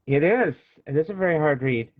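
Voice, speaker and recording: A man's voice: fairly high pitch, lively intonation, brisk, no echo. An American man in his forties from Illinois, speaking casually as an author being interviewed. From Illinois, United States. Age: 40s. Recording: thin phone-like call audio.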